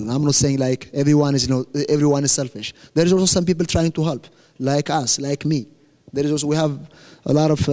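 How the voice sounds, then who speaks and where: A man's voice: a little high, darkish tone, long reverb tail. A young man from Ethiopia with an Ethiopian accent, speaking English as a second language while giving an earnest, plain-spoken testimony to a church. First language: Amharic. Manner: earnest, plain-spoken, self-correcting, joking about his accent.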